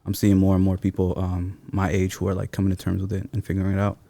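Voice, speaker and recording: Casting: thirties male interview guest, very low and soft-toned, reflective, informal phrasing. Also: completely flat delivery, fast talker, creaky, no room sound. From Seattle, born in Somalia. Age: 30s. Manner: thoughtful, informal slangy phrasing. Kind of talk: reflective casual interview talk.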